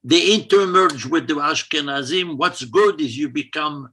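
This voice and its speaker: A middle-aged man from Morocco, a cantor, answering interview questions in a halting way with many repeated words and easy laughter. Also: fairly high pitch, sharp tone, slight background noise. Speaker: cantor, guest.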